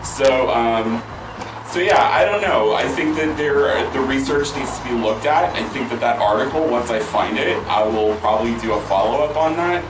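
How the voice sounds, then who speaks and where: A man hosting a vlog, answering viewer questions in casual chat, hoarse with a worn-out voice and rambling with many fillers and restarts. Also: mid-range voice, lively intonation, normal speed, noisy background. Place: outdoors near a pool with loud music. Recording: roomy, mic at a distance, loud music behind.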